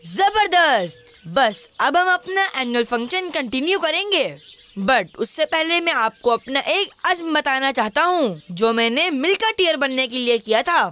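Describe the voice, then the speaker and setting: Bright, high-voiced cartoon schoolteacher. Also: female voice, lively intonation, a little slow, full character voice. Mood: earnest, welcoming. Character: encouraging, earnest schoolteacher addressing children. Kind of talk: children's cartoon dubbing.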